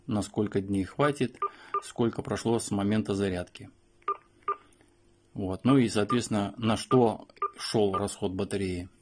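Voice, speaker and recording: A man giving an unscripted step-by-step device walkthrough as a review host, explaining piece by piece with hesitant pauses. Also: lowish voice, a little mellow.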